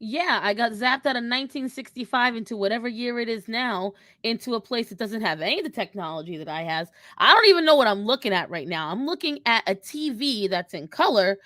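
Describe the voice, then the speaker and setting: A woman's voice: on the high side, sharp tone, dry recording, clean studio sound. A woman voicing a cocky young fire-mage from the sixties, talking in sassy, blustering slang on an improvised livestream. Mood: flustered, defiant.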